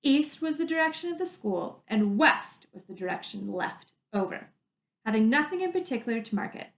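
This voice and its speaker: A woman teacher reading a story to children in an animated, emphatic way, adding her own commentary. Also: a little high, normal speed. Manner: animated, emphatic, stretches descriptive words. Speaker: teacher reading aloud to pupils. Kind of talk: expressive storybook read-aloud with commentary to children. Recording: echoey room sound.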